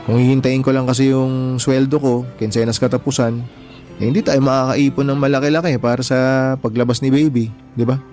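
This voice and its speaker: A man's voice: medium pitch, flat delivery, brisk, darkish tone, soft background music, close to an everyday voice. A man playing an earnest, humble, hardworking young husband in a radio drama, talking in a mild conversational way. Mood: earnest, determined. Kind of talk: Tagalog radio drama dialogue.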